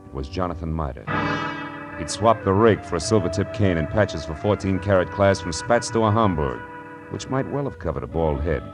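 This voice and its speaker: A man's voice: low-pitched, lively intonation, moderate pace. A man acting a tough, wisecracking, cynical private detective in a 1940s radio noir, with a wry, slangy, punchy delivery. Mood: wry narration. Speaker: narrator and lead. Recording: vintage 1940s radio recording with music.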